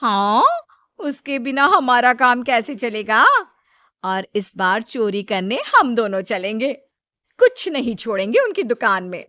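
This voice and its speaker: Lady doing a plotting young bahu, indignant and over the top, really high voice, dry sound. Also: big pitch swings, unhurried, bright, forceful tone, dry recording, heavily acted. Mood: scheming. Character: scheming, resentful young daughter-in-law plotting theft. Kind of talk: Hindi moral story character acting.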